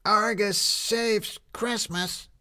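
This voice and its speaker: Woman as a sharp, slow-calling newspaper seller. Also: mid-range voice, steady tone. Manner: drawn-out, calling delivery. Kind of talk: street-cry character acting in audio story.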